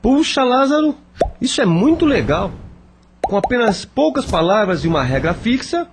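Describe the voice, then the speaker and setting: An actor playing an impressed, excitable young schoolmate in a radio drama, admiring and enthusiastic. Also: male voice, very high voice, normal speed, background noise, full character voice.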